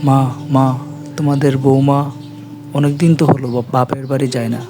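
A man's voice: mid-range voice, level tone, brisk, loud music behind the voice, natural voice. A man voicing a caring young village husband in a cartoon family drama, soothing and reasoning.

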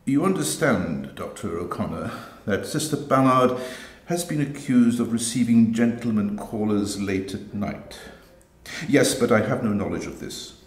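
A man's voice: fairly low pitch, expressive, measured pace. A man reading his own story aloud as dramatic dialogue, in a live setting. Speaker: author reading own stories. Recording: roomy, echoey live reading.

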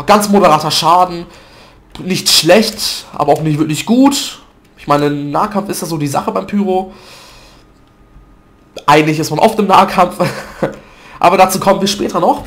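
A man with a stuffy nose from a cold, chatting loosely through gameplay commentary with fillers and self-corrections, recorded at a desk mic at home. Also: a little high. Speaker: gaming video commentator.

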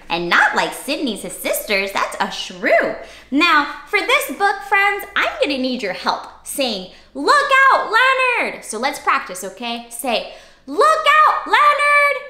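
A young woman with a high, bright voice reads interactively to small children. She takes her time and exaggerates her delivery, often asking the listeners questions. The sound is roomy, as in an echoey room at home. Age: young adult. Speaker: children's read-aloud host. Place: small furnished room indoors.

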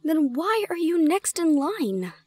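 Woman voicing young gorgon woman, audio drama, high-pitched, shy, easily flustered, incredulous, halting and exclamatory. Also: sing-song, darkish tone, no room sound, heavily acted. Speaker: audio roleplay performer. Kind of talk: audio roleplay drama acting.

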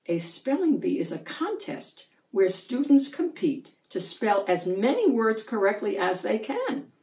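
A woman's voice: mid-range voice, sing-song, a little slow, a little mellow. Woman reading as a kindly schoolteacher, echoey. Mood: encouraging. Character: kindly, encouraging female schoolteacher. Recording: roomy echo, distant microphone.

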